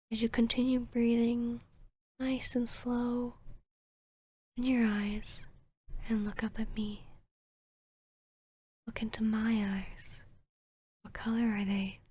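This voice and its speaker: A woman's voice: on the high side, a little mellow, husky. A woman voicing a seductive, arrogant vampiress posing as a therapist in an ASMR roleplay, half-whispering in a soothing, commanding, teasing way. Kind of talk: ASMR roleplay, hypnotic guided induction.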